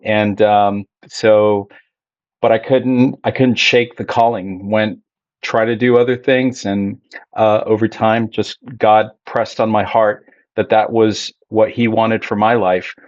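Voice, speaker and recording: A middle-aged man from Ecuador, a pastor being interviewed, telling his story in a measured, reflective way. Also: on the low side, steady tone, dry recording, lo-fi sound. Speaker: Spanish ministry pastor, guest. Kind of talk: conversational interview.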